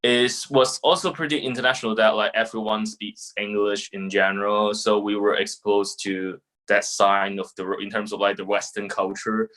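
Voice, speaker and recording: A man's voice: lowish voice, level tone, moderate pace, hardly any pauses, a bit bright. A man in his twenties with a Hong Kong accent, answering casually and informally as a podcast guest. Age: 20s. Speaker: podcast guest, young lawyer.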